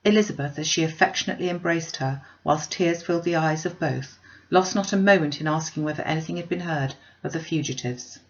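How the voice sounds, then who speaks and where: Woman, lower register, expressive audiobook narration, classic literature, slightly dull recording. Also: a little fast. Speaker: audiobook reader. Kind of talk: scripted narration of a classic novel.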